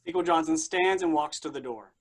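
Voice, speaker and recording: A man's voice: a little high, brisk. A man reading stage directions aloud as the narrator of a staged play reading.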